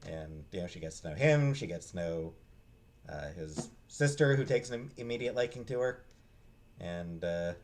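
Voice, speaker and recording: A man giving a casual, unscripted book review in a halting, hesitant way, often trailing off and restarting. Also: on the low side, a little mellow. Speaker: book reviewer, vlog host.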